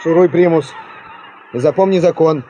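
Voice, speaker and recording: An actor playing a folksy, easygoing field worker with colloquial speech in a Soviet radio play. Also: male voice, on the high side, very noisy, natural voice. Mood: matter-of-fact, brisk. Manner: colloquial, unhurried homespun remarks.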